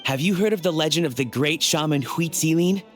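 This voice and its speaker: A man's voice: on the high side, a little fast, soft background music, close to an everyday voice. An actor playing an enthusiastic male researcher explaining legends in a video game. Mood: eager. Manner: expository, enthusiastic lecturing. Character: adult male researcher, eager history enthusiast.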